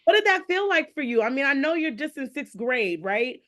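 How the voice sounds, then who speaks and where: A woman hosting a casual conversational podcast interview, quick to laugh and react to what she hears. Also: sits high, sing-song, a bit bright. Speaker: podcast host interviewing her father.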